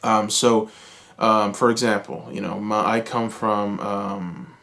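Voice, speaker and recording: A Cuban American man giving a calm, reassuring tarot reading, speaking slowly and soothingly to his viewers. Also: lowish voice, creaky. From United States. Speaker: tarot reader. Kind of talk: pick-a-card tarot reading.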